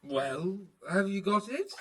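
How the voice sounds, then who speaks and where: A man's voice: sits high, big pitch swings, takes it slowly, sharp tone, exaggerated voice, rough recording. A man playing a cocky young nobleman in a video game, teasing and pompous. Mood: amazed, amused. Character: cocky young nobleman, playful and fond of wine. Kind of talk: video game cutscene acting.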